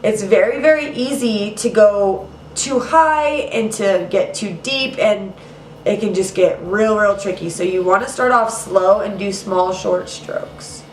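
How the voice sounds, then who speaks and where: A woman's voice: medium pitch, a little slow, a little hiss or hum. A young woman hosting a makeup tutorial in a casual, chatty way, a little nasal and congested from allergies. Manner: chatty, casual, says "girl" and "you guys". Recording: roomy, mic at some distance.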